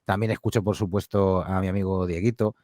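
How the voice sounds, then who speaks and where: A man who makes horror audio fiction podcasts, answering interview questions in a relaxed, rambling way, with lots of fillers and restarts. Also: low voice, fairly quick, no room sound, clean studio sound. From Spain.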